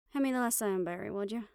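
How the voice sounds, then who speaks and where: A woman playing a gentle, caring young banshee in an intimate audio roleplay, speaking softly and soothingly, with some phrases trailing into a whisper. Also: mid-range voice, vocal fry, clear, resonant tone, no room sound, clean studio sound. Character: gentle young female banshee from Puerto Rico, caring crush. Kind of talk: intimate audio roleplay acting, one-sided conversation.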